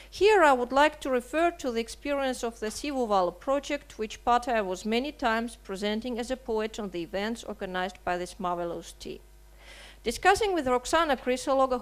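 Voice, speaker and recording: Woman, slightly high voice, writer reading a statement, measured and earnest, rhetorical questions. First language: Russian. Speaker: writer and translator. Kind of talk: reading a prepared seminar statement. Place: seminar hall.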